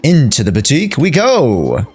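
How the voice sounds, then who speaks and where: Animated game streamer with a casual delivery. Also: mid-range voice, moderate pace, soft background music. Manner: slangy, animated, reactive. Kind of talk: casual playthrough commentary.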